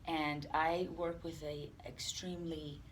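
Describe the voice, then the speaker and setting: A woman giving unscripted interview answers as a police department representative, speaking earnestly and haltingly. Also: sits low, little pitch movement, rough recording. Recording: clear background room noise.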